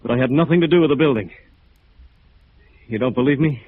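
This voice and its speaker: An actor with an American accent playing a battered, bitter architect in 1950s radio drama, halting and weary. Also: male voice, medium pitch, natural voice. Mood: weary, ironic. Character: battered, bitter, dazed local architect, a beaten man. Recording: muffled narrow-band vintage radio recording.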